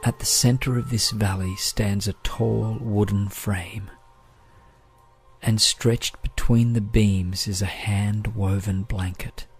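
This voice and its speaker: A man guides a meditation in a low voice. His delivery is very slow, gentle and soothing, each phrase measured. Soft music plays faintly behind him. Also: fairly even intonation.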